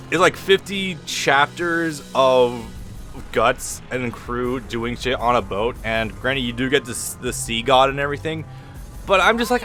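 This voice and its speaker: Loud, bright-voiced man rambling over gameplay. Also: mid-range voice. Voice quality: loud, projecting voice. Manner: rambling and frank, swears freely. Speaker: let's play host. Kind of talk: casual gameplay commentary. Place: at home at his computer, thin walls. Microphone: computer recording mic.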